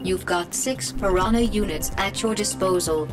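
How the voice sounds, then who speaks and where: A woman voicing a calm digital tactical assistant, giving neutral, precise instructions in a video game tutorial. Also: mid-range voice, normal speed, music in the background, lightly acted. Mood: calm, instructional.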